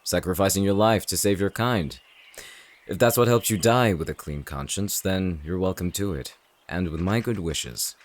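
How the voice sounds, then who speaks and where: A male actor as a smug, contemptuous hunter villain in a fantasy audio roleplay. His voice sits low and deep, and he taunts with a sneer. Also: moderate pace, lightly acted. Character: cocky, contemptuous male shifter-hunting villain. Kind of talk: audio roleplay drama acting.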